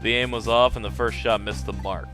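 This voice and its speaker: A man's voice: lowish voice, steady tone, a little fast, natural voice. A man voicing a bitter, resigned ghost schemer in a dramatic read-aloud of game dialogue over music. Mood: grim confession. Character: bitter, resigned male ghost schemer confessing his plot.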